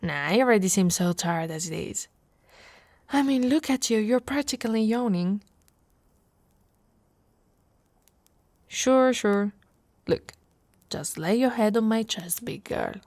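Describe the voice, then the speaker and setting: A woman playing a big, tough but tender orc wife in an intimate, soft ASMR roleplay. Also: mid-range voice, animated, dry recording. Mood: playful, teasing. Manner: soft, slow, intimate, often breathy with soft chuckles.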